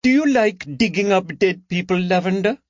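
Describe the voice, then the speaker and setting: A man's voice: high-pitched, moderate pace, dry recording, muffled recording, voice pushed up, close to an everyday voice. A man voicing a sly, nonchalant, cheeky girl in an audiobook, with a teasing manner. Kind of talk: audiobook character dialogue.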